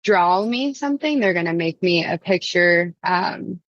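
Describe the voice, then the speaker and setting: A woman's voice: lowish voice, expressive, measured pace, dry recording. Podcast guest, woman, dull dry lo-fi audio, casual talk. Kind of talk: casual chat. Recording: dull, lo-fi microphone sound.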